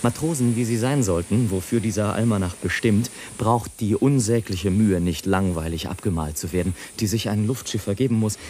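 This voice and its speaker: An actor playing a mocking, indignant balloonist who declaims and mutters theatrical asides in a radio play. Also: male voice, fairly low pitch, moderate pace, hardly any pauses, warm tone, slight background noise, close to an everyday voice. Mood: heated declaiming. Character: sardonic, indignant male balloonist watching people from above. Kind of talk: German radio play, literary monologue. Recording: music under the voice.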